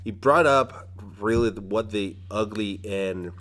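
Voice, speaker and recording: Low-voiced man hosting a casual podcast. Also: a little slow, fairly bright tone. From Mexico. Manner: rambling, many restarts and fillers. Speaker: podcast host. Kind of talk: casual conversational interview.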